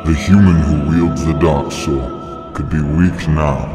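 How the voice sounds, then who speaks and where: Ancient dragon, abyssally deep. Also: male voice, steady tone. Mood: ominous. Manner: solemn, ominous, deliberate storytelling. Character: ancient male dragon elder, grave and prophetic. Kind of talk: dramatic comic dub acting. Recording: long cavernous reverb under music.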